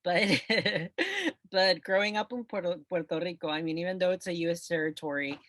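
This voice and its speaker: A man's voice: high voice, fairly even intonation, fairly quick, a bit bright, no room sound, clean studio sound. A man hosting an interview show, upbeat and chatty, laughing easily with his guest. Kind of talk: casual interview chat.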